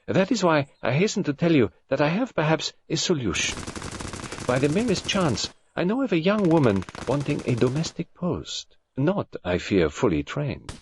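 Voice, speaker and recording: A man's voice: a little high, big pitch swings, normal speed, a little hiss or hum, pitched above the performer's own voice. Man acting courtly foreign detective, French accent, formal and precise, swooping intonation, audiobook. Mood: courtly, persuasive. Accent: French-speaking. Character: polite foreign male detective, brisk and courtly.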